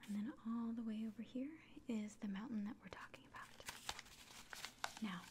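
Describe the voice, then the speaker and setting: A woman playing a kindly, caring innkeeper in an ASMR fantasy roleplay, whispering gently and reassuringly. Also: a little high, fairly even intonation, moderate pace, background noise, close to an everyday voice. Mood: helpful, instructive.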